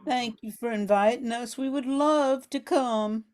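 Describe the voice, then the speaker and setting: A woman's voice: fairly high pitch, measured pace, a little mellow, lightly acted. A woman playing a worried, loving mother in an amateur radio drama. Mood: grateful.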